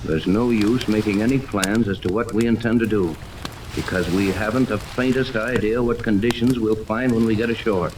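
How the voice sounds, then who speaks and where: Casting: male actor for steady, authoritative sea captain, low register, vintage radio adventure, noisy recording with rain. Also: natural voice. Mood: commanding, steady. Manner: authoritative, deliberate, gives orders. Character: commanding, level-headed male yacht captain leading an expedition. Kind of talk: 1930s radio serial acting. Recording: noisy 1930s radio, rain ambience over it.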